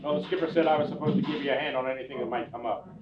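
A man's voice: mid-range voice, steady tone, rapid-fire, a loud crowd, close to an everyday voice. An older man acting a terse, matter-of-fact police detective in a 1950s-style radio drama. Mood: low-key. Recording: tinny, distant, roomy live stage recording.

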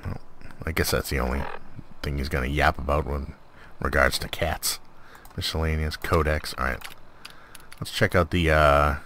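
A man's voice: a bass voice, steady tone, moderate pace, fairly bright tone, slight background noise. A man giving casual, conversational gameplay commentary in a laid-back way, as the host of a showcase. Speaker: host of a game mod showcase.

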